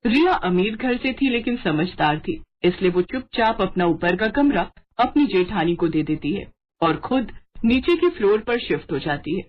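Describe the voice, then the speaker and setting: Lively woman storyteller, slightly muffled. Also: medium pitch, moderate pace. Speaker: story narrator. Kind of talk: scripted story narration. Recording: dull lo-fi audio.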